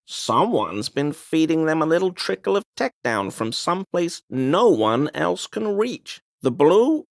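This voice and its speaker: A man's voice: a little high, very animated, unhurried, creaky, no echo, studio quality, full character voice. An actor playing a smug, conspiracy-minded man in a video game, speaking in an insistent, knowing, insinuating way. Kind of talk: video game dialogue voice lines.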